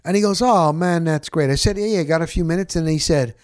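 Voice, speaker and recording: A middle-aged man telling stories casually as a sportscaster, rambling and candid, with animated, salty talk and repeated phrases for emphasis. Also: on the high side, fairly quick, clean tone, no room sound, studio quality. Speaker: sportscaster and podcast host.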